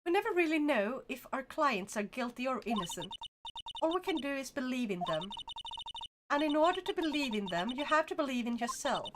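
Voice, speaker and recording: A woman reading as a calm lawyer mentor. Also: high voice, a little fast, background noise. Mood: earnest. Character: composed adult female defense lawyer mentor. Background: game music.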